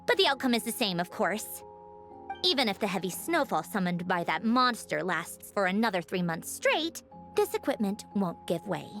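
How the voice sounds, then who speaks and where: A woman playing a confident, chatty female engineer of high rank in a video game, breezy and self-assured. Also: sing-song, normal speed, a bit bright, soft background music. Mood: self-satisfied.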